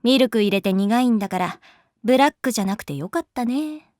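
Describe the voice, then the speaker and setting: A woman's voice: a little high, animated, brisk, a little mellow, no echo, studio quality, natural voice. A woman playing a pragmatic, teasing female schoolteacher in a Japanese audio drama, moving between polite firmness and casual bluntness.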